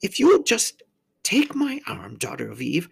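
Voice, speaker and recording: Storybook faun: very high, swooping pitch, courteous, kindly, stammering and effusive. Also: male voice, huge pitch swings, unhurried, gravelly, husky, exaggerated voice. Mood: inviting, eager. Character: polite, flustered, kindly faun, high fluttery voice.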